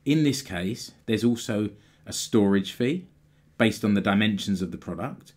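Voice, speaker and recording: A man with a fairly low voice, a little hoarse and croaky from a sore throat, hosts his own business podcast. He walks listeners through practical points in a plain, explanatory manner. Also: expressive, normal speed, a little mellow. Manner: explanatory, plain-spoken, occasional restarts. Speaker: podcast host.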